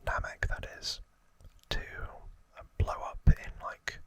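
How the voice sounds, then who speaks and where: A man hosting ASMR, whispering informal trivia narration in a hushed, rambling way with casual asides. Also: slow. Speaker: ASMR host.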